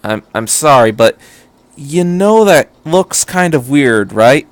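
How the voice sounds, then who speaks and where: A man's voice: mid-range voice, lively intonation, dry recording. Man playing a hesitant, uneasy teenage boy. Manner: halting, tentative.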